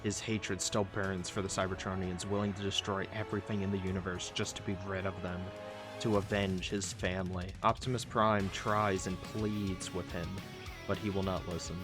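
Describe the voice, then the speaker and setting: A male narrator with a fairly low voice delivers a scripted, dramatic retelling. Guitar music runs clearly beneath him throughout. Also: little pitch movement, moderate pace. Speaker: video essayist, narrator. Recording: loud music under the voice.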